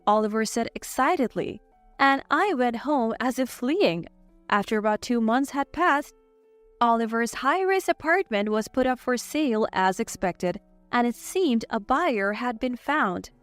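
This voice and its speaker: High-voiced woman narrating a story. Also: lively intonation, unhurried, no echo, faint music behind. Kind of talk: scripted first-person narration.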